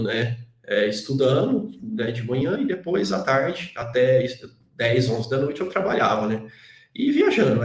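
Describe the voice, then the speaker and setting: Man, Brazilian Portuguese accent, interview guest, rambling tag questions, middle pitch, echoey room. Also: darkish tone. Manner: chatty, constant "né" tag questions, self-deprecating. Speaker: guest, database engineer. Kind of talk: casual interview chat. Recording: roomy echo.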